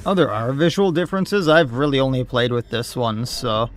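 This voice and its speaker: A man streaming a game, chatting casually, laughing often and reading chat aloud, his voice going hoarse. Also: medium pitch, expressive, moderate pace, slight background noise. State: losing his voice. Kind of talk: casual gameplay commentary.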